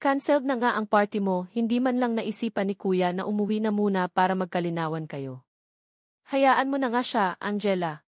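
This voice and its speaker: A woman's voice: medium pitch, animated, normal speed, dry recording, lightly acted. Direct, caring kid sister in a romance audiobook, played by a woman, Filipino accent, easily exasperated, close dry recording. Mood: annoyed, caring. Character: frank, concerned younger sister of the absent lover.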